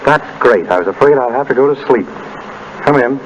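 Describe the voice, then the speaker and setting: Wry, lively 1930s radio detective, played by a man. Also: mid-range voice, brisk, lo-fi sound, natural voice. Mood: dryly sardonic. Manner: dry, flippant wisecracking. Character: wry, unflappable married man, retired detective. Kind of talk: 1930s radio drama acting. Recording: old noisy 1930s radio broadcast.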